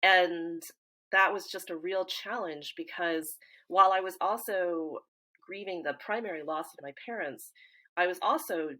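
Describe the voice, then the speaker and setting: A woman from the US East Coast, a guest in an interview, speaking in a reflective, measured way with frequent fillers and restarts. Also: lowish voice, bright, forceful tone. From East Coast of the United States. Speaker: interview guest, grief support founder.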